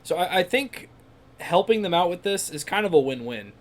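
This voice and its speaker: A man's voice: high-pitched, sing-song, moderate pace. A man giving casual let's play commentary, with wry, joking asides. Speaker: gaming streamer.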